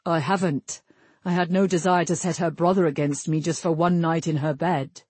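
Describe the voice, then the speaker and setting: A woman's voice: lowish voice, fairly even intonation, normal speed, darkish tone, slightly rough, no echo. A woman voicing a proud, stubborn young prince who speaks in direct, archaic phrases in a fantasy audiobook. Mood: casual. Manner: formal archaic speech, direct.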